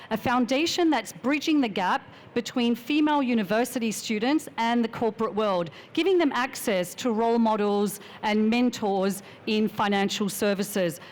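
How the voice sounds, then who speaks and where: Measured woman speaking on a panel. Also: a little high, slight background noise. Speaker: panelist, strategic advisor. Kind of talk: prepared panel introduction. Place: conference panel stage.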